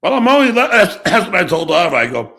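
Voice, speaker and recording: A man's voice: high-pitched, very animated, fast talker, creaky, pushed and bright. A man hosting a casual talk show, rambling and ranting with lots of swearing and repetition. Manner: foul-mouthed, rambling, repeats himself. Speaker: show host.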